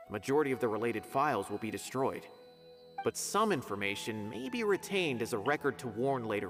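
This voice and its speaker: An earnest young scholar. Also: male voice, mid-range voice, a bit bright. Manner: earnest, explanatory. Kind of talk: video game voice acting.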